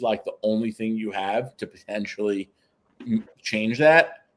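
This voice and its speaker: A young man co-hosting a podcast, chatting casually and eagerly about comics and films. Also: fairly low pitch. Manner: excited fan talk, eager and emphatic. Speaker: podcast co-host.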